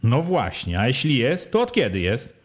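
Man reading as a sharp, pushy village head, raised voice, insistent questions, rising pitch. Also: wildly swooping pitch, moderate pace. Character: forceful village official, a middle-aged man, pressing and sly.

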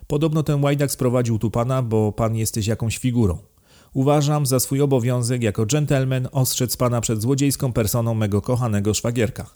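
A man voicing an excitable, contemptuous, deranged aristocrat count in an audiobook, imperious and given to emphatic outbursts. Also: medium pitch, fairly quick, pitched above the performer's own voice, natural voice. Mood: indignant. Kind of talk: dramatized audiobook reading.

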